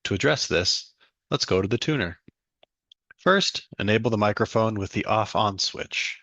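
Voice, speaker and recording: A young man, presenting a step-by-step software demonstration. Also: lowish voice, animated, moderate pace, dry recording. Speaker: student demo presenter. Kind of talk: software demo walkthrough.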